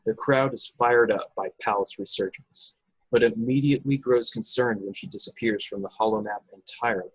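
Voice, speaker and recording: A man's voice: on the low side, steady tone, normal speed, breathy edge, no room sound, low-quality recording. A man reading scripted action narration and stage directions at an online table read. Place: indoors, remote video call table read.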